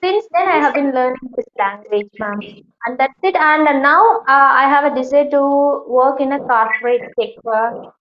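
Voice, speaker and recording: A woman's voice: high voice, moderate pace. A young woman from Tamil Nadu with a Tamil Indian English accent, talking politely and hesitantly while practicing English conversation. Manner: polite, hesitant, fillers like "you know". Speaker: channel host, English learner. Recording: slightly dull, faint noise.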